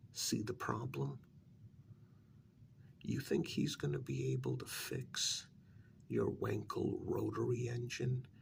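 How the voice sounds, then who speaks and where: A man doing a soft-spoken ASMR role-play as a frank, patient adviser to a cursed vampire, speaking in a measured, whispery way with a gravelly fry. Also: lowish voice, full character voice. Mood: explaining, patient. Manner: measured, deliberate, explanatory.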